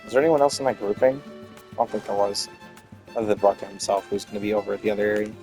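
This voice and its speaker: A man's voice: lowish voice, little pitch movement, racing, over music. A man giving casual commentary as he streams a game playthrough.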